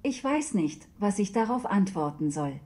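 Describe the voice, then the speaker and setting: Polite, forceful-bright woman as a voice assistant. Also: medium pitch, very animated, close to an everyday voice. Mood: neutral, polite. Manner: neutral, measured, precise. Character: polite, emotionless smart-home voice assistant. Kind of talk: scripted radio play, formal answers.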